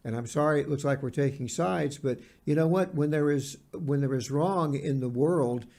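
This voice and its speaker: A man co-hosting a podcast, talking in an earnest, halting way and repeating words for emphasis. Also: a little high, gravelly, a little mellow. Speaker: podcast co-host. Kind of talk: conversational podcast discussion.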